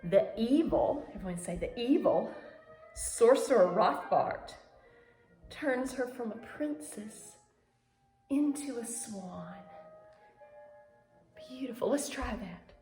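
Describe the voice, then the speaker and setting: Slow, expressive woman teacher in an echoey room. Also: a little high. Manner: theatrical storytelling with encouraging prompts. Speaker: ballet teacher. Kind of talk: demonstrative dance lesson, talking viewers through gestures. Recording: very echoey room, music behind.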